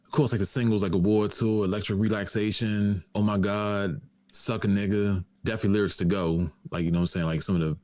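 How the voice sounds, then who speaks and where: Deep-voiced fast-talking guy, dry sound. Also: steady tone, darkish tone, no room sound. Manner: loose slang-heavy talk full of fillers. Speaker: podcast co-host. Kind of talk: casual chat.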